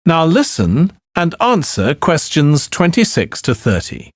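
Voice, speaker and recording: A man reading scripted exam instructions as an announcer, formal and deliberate. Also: on the high side, wildly swooping pitch, no echo, clean studio sound.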